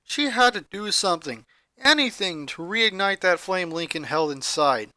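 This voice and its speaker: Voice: medium pitch, animated, moderate pace, sharp tone, dry recording, clean studio sound. A person reading a fan fiction story aloud as scripted narration. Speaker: story reader.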